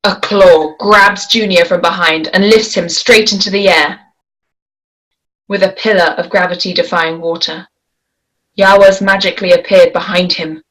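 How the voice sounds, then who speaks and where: A woman narrating stage directions at a table read, in a measured, descriptive way. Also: lowish voice, fairly even intonation, fairly bright tone, low-quality recording.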